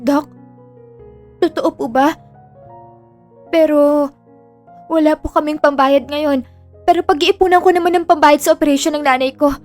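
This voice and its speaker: Woman acting high-voiced, tearful, pleading daughter. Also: fairly even intonation, normal speed, long pauses, faint music behind, lightly acted. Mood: disbelieving hope. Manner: pleading, emotional, deferential. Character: desperate pleading daughter, poor, tearful and humble.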